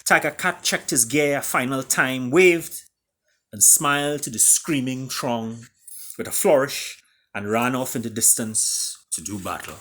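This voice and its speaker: A man reading and retelling a fable as its author, with dramatic pauses and emphatic delivery. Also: mid-range voice, pushed and bright. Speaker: author reading his own book.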